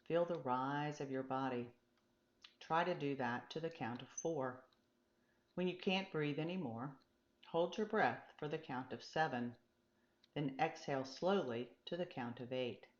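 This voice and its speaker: Woman voicing a calm, low-voiced meditation guide, roomy sound. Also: measured pace, lo-fi sound. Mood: soothing, guiding. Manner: gentle, reassuring, instructive. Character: calm, encouraging, patient meditation teacher and guide. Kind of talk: storybook character dialogue.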